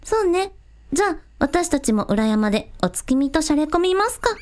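A woman's voice: sits high, sing-song, moderate pace, slight background noise. A woman playing a plucky, practical young village idol who talks casually in a radio drama. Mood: easygoing. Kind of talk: Japanese radio drama acting.

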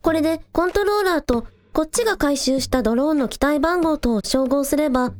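A woman's voice: high voice, a little fast, a little mellow, lightly acted. A woman playing a casual, take-charge young village idol girl in an audio drama, breezy and teasing. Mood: excited, brisk. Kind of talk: Japanese audio drama acting.

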